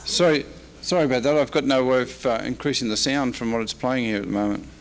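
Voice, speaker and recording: A man's voice: mid-range voice, quick pace. An Australian man presenting as a historian in an informal slide-show talk, casual and dryly humorous. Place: convention presentation room, speaking at a microphone.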